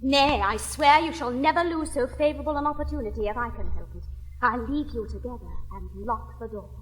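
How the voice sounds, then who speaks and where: An actress playing a brisk, teasing, worldly married gentlewoman in a period comedy, with an English accent and an arch manner. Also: a little high, in a large hall, natural voice, poor audio. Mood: teasing, urging. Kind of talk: classic stage comedy acting.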